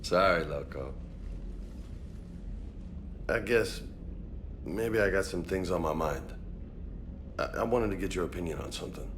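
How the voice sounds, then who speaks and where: A man's voice: sits low, a little slow, long pauses, slight background noise. A man playing an informal, self-doubting space marine in a video game, talking in a casual, slangy, blunt way. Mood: troubled, candid. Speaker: soldier. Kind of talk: video game dialogue acting.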